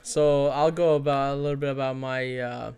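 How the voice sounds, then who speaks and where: A man's voice: medium pitch, steady tone, normal speed, darkish tone, smooth. A man from Iraq hosting a podcast, addressing listeners in an orderly way and casually prompting his co-host. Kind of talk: podcast introduction and casual interviewing.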